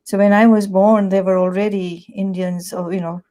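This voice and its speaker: A woman's voice: mid-range voice, little pitch movement, normal speed, mellow. A woman in her sixties from Tanzania, a publisher, chatting as an interview guest with many "you know"s and restarts. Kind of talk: conversational interview.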